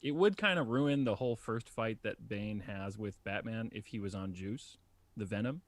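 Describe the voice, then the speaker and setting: A man's voice: on the low side, moderate pace. A man co-hosting a podcast, talking casually and giving opinions with some swearing. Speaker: podcast co-host. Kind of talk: casual chat.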